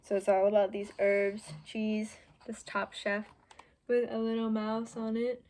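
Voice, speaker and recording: A woman's voice: mid-range voice, completely flat delivery, unhurried, a little mellow. A young woman in her teens reading a children's picture book aloud, adding chatty, informal asides for young listeners. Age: teenager. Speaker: student volunteer reader.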